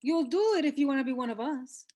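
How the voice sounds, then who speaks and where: A woman's voice: high voice, expressive, quick pace, dark tone. A woman acting an edgy sixteen-year-old mean girl who leads her crew, scornful and commanding, in a scripted read. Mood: bossy, pressuring.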